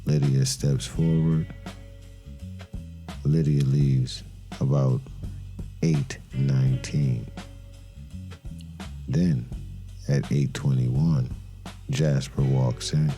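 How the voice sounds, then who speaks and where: Gravelly, very deep brooding detective played by a man. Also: level tone, mellow, heavily acted. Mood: somber, brooding. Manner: somber, deliberate, dramatic pauses. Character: brooding male detective narrating a murder case. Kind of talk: scripted noir crime narration. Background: music.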